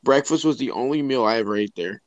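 A man's voice: medium pitch, quick pace, no room sound, highs cut off. A young man in casual, informal conversation, chiming in with joking comments. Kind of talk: casual chat.

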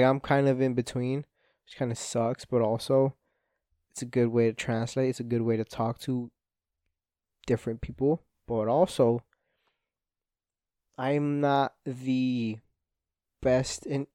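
A man's voice: medium pitch, a little mellow, dry recording, studio quality. Rambling, profane young man on a podcast. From Spanish-speaking home. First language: Spanish. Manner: rambling, profane, self-deprecating, frequent "like" fillers. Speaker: podcast host. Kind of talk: casual solo podcast monologue.

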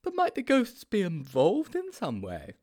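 A man's voice: high-pitched, huge pitch swings, measured pace, a little mellow, pitched above the performer's own voice, heavily acted. A man voicing a timid, muddled, admiring storybook sidekick who asks questions in a naive, plaintive way. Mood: puzzled. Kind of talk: storybook character voice.

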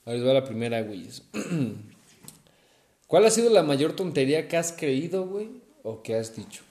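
A man's voice: on the high side, very animated, moderate pace, warm tone. A young man with a Mexican accent hosting a casual chat over drinks, swearing and slangy. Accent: Mexican Spanish. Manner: slangy, swears a lot, says güey constantly. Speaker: podcast host. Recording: echoey room.

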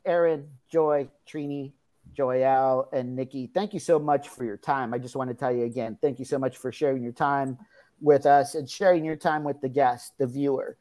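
Woman, panel host, low voice, mellow tone, effusive, repeats praise. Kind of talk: panel discussion hosting.

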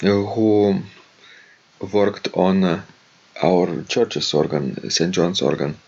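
A man's voice: deep voice, takes it slowly, gravelly, a little mellow, slightly rough, dull audio. A man from Lithuania with a Lithuanian accent, co-hosting a podcast in casual, hesitant chat with many fillers and reading out a listener's letter. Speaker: podcast co-host.

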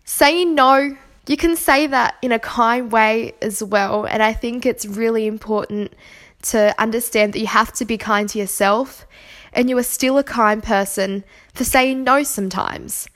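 A woman's voice: a little high, a little slow. A woman hosting a solo podcast, talking earnestly and reflectively while nursing a cold and sore throat. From Australia. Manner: earnest, reflective, gently encouraging. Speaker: podcast host. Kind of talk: solo podcast monologue on kindness.

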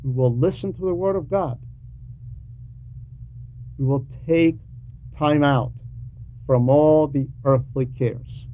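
Slow, deliberate male preacher, muffled recording. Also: on the high side. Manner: emphatic, deliberate phrasing with pauses. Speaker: preacher reading a translated homily. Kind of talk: sermon. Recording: dull lo-fi recording with background noise.

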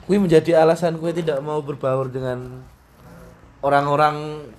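A man's voice: on the high side, level tone, moderate pace, slight background noise. Young man hosting a podcast. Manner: casual, probing questions, mixing languages. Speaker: podcast host. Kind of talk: casual interview chat.

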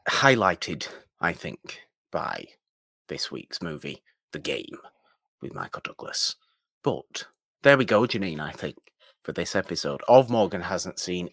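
A man's voice: sits low, vocal fry, a bit bright, dry recording, clean studio sound. A man with a British accent co-hosting a film podcast in casual chat, a bit mock-pedantic and hedging as he talks. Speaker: podcast co-host.

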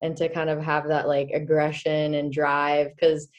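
A young woman from the Czech Republic, a hockey player, chatting casually in an interview, full of fillers and quick laughs. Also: low voice, monotone, lo-fi sound.

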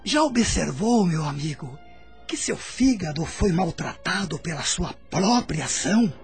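A grave but tender male spirit doctor with a Brazilian accent. The voice is high for a man and swings widely in melody as he admonishes, formal and measured, over music. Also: full character voice. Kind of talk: radio drama acting, formal Portuguese diction.

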